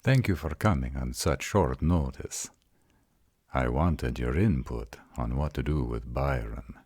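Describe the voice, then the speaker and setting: A man's voice: extremely low pitch, measured pace, darkish tone, husky, no echo, studio quality, exaggerated voice. A man voicing an arrogant but tender vampire mentor in an intimate roleplay audio drama, soothing and reassuring his mate. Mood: composed, serious.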